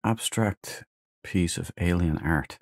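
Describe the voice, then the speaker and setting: A man's voice: deep voice, slow, dry recording, studio quality. A man doing casual let's play commentary, muttering to himself with laid-back, wry asides. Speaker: gamer, let's play host. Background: faint game music.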